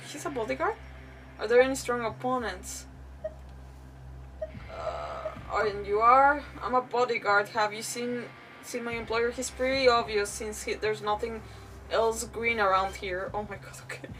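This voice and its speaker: A woman's voice: fairly high pitch, animated, a little slow, a bit bright, recorded from a distance, rough recording. A woman game streamer giving casual live commentary, exclamatory and often stumbling as she reads aloud. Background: game music.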